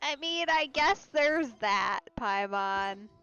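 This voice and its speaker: High-voiced, animated woman streaming a game. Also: slow, muffled recording. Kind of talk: casual let's play commentary. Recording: game music behind the voice.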